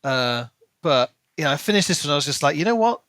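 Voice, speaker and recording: Bright-voiced man co-hosting a book podcast. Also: fairly high pitch, sing-song, fast talker, dry recording, clean studio sound. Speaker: podcast co-host. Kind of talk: casual book chat and reading a written plot recap.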